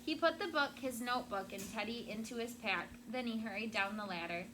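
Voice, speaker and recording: A woman teacher reading a story expressively to children and pausing to explain words simply, with noise behind her. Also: fairly high pitch. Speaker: elementary school teacher. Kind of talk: read-aloud storytelling to children, with questions and vocabulary asides. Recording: loud background noise.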